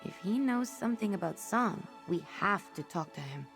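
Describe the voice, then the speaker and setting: A young reformed pickpocket from a fantasy game, loyal to her friends and openly heartfelt. The delivery is expressive and earnest at a middling female pitch, with music running underneath. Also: normal speed, vocal fry. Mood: worried, resolute. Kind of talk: video game character dialogue.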